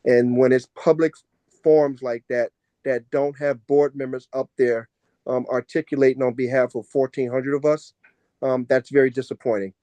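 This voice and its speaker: An American man speaks at a board meeting in a relaxed, mid-pitched voice. His delivery is measured and formal, with points firmly emphasized, and the sound is dry. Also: no room sound. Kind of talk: meeting remarks.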